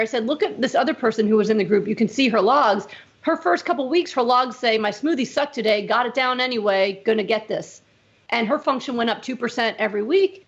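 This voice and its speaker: Middle-aged lady doctor on a video call, kinda high voice, quick fragments, lots of "right?", muffled lo-fi sound. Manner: emphatic, talks in quick fragments, frequent "like" and "right?". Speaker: physician guest. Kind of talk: casual interview conversation.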